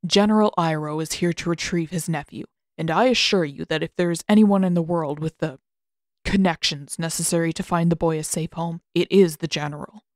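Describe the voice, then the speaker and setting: A woman voicing a stern, reserved older male master of water magic in a formal, measured, unyielding manner for a story. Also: sits low, steady tone, a little mellow, dry recording, studio quality, pitched below the performer's own voice, close to an everyday voice. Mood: firm, resigned.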